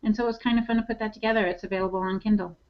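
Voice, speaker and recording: A woman's voice: mid-range voice, steady tone, racing, muffled recording. A woman co-hosting a podcast as a writer, talking in a casual, conversational way.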